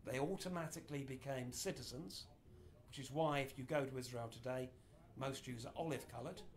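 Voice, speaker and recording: Middle-aged man, informal lecturer, animated delivery, mid-range pitch, small room. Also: brisk. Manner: animated, gesturing broadly while explaining. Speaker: speaker giving a history talk. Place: small indoor meeting room.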